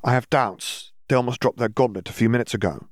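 Audiobook role: male narrator as brash teenage schoolgirl, blunt and teasing, pitched up, dry close sound. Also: fairly quick, no room sound, natural voice. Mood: insistent. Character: brash, feisty teenage schoolgirl, quick to tease.

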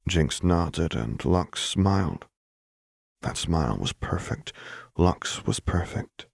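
A man's voice: sits low, animated, slow, vocal fry, dry recording, studio quality. A man narrating dramatic fiction as a scripted audiobook reading.

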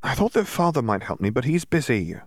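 An eager little schoolgirl voiced by a man reading a storybook, pitched well above his own voice. The girl pleads and protests, and the sound is close and dry. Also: very animated, a little fast, no room sound. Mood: disappointed. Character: eager, exasperated young girl, a pupil.

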